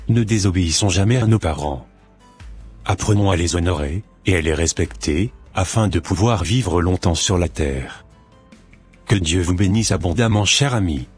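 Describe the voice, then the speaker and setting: Deep, husky man giving devotional exhortation. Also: moderate pace, darkish tone, soft background music. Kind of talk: devotional exhortation, scripture reading.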